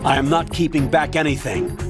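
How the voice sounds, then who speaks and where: An actor playing an older military colonel in a video game, authoritative, measured and guarded. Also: male voice, a little high, loud music behind the voice, lightly acted. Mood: guarded, firm. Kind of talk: video game cutscene acting.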